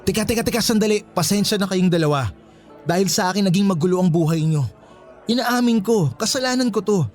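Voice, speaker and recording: A conflict-shy young father in a Filipino radio drama, played by a man. His high-pitched voice is flustered and placating, pleading with the women in his life. Faint music sits underneath. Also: a little fast, close to an everyday voice. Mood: apologetic, pleading.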